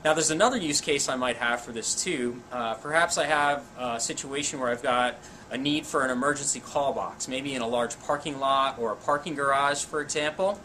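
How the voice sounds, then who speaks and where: A man's voice: medium pitch, normal speed, bright, forceful tone. A man in his forties giving an informal, plain-spoken technical product demonstration. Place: indoor equipment lab or demo room. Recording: clear background noise in room.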